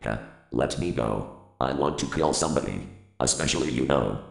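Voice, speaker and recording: A man's voice: a bass voice, normal speed, gravelly, faint music behind, voice pushed down. A man playing an agitated infected man turning violent, with slurred speech, in an amateur horror drama. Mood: annoyed, menacing. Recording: roomy, echoing voice recording.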